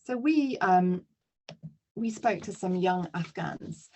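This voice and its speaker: A woman's voice: on the low side, lively intonation, slow pace, darkish tone, slight background noise, poor audio. A woman presenting a webinar lesson, explaining steadily with occasional fillers.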